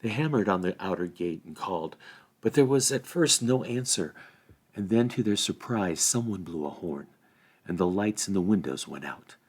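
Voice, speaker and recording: A man reading a fantasy storybook aloud as an expressive narrator. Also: sits low, moderate pace, slightly rough. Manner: acts out dialogue with changed voices. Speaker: volunteer story reader.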